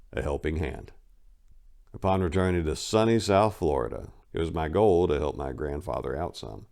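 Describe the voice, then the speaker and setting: A man's voice: low voice, creaky. A man narrating his own memoir in a casual first-person reading, wry and blunt, with crude humor.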